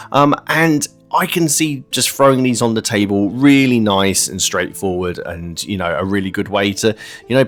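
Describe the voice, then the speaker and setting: A man giving a casual, chatty narrated walkthrough as a hobby video host, explaining things informally. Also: on the low side. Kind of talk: casual narrated kit-building review. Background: music.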